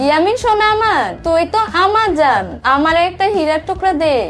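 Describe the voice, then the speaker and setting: A woman's voice: very high-pitched, a little fast, fairly bright tone. A woman voicing a greedy, scolding young village schemer in a comic cartoon, bickering in colloquial speech. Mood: pleading. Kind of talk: Bengali cartoon comedy acting.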